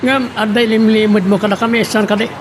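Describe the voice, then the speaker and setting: A man's voice: high voice, flat delivery, a little fast, natural voice. A man playing a stern, scheming wealthy boss who presses and commands in an Ilocano audio drama, with an Ilocano accent. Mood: stern, pressing. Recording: clear background hiss and noise.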